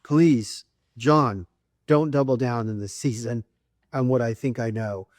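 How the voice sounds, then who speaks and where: A man's voice: mid-range voice, a little slow, a little mellow, no room sound. Earnest preachy man, spiritual talk. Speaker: co-host.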